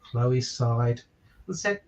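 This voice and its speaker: A man's voice: mid-range voice, animated, very slow, far from the mic. A man reading a children's picture book aloud, with chatty asides and questions to his listeners. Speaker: stand-in children's story reader. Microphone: virtual call, away from the microphone.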